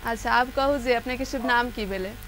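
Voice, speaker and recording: A woman's voice: a little high, a little fast, a bit bright, slight background noise. A woman with a cold hosting a live call-in show, chatting with callers. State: congested from a cold. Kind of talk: live phone-in chat with callers.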